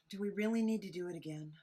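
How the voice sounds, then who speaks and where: A woman's voice: fairly low pitch, a little fast, darkish tone, lightly acted. A woman playing an anxious, flustered podcaster in an audio drama read-through, hesitant and stammering as a reluctant sidekick. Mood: alarmed protest.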